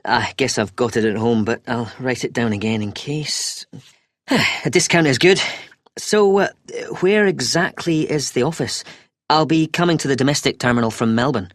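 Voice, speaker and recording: A woman's voice: a bass voice, normal speed, dry recording, clean studio sound. A woman playing an agreeable, inquiring customer planning a trip in a scripted language-test dialogue, a little hesitant and questioning. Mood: curious, agreeable.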